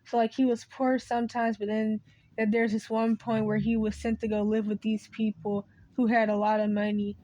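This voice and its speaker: A woman's voice: on the high side, level tone, moderate pace, warm tone, dry recording, lo-fi sound. A woman talking straight to camera in a meandering, unscripted monologue, often losing her train of thought and starting over, her voice cracking. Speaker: video creator.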